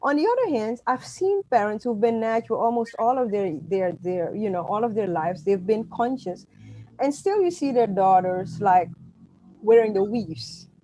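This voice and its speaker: A woman's voice: medium pitch, animated, moderate pace, dark tone, no room sound, faint music behind, rough recording. A middle-aged woman from Suriname, a native Dutch speaker, talking as an author in an interview, emphatic with frequent restarts. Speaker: author and guest. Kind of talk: conversational interview.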